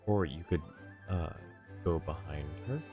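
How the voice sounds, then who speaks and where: A man with a low voice chats casually as a game streamer. His asides are laid-back, and music plays faintly behind him. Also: lively intonation, a little slow. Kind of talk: casual live stream commentary.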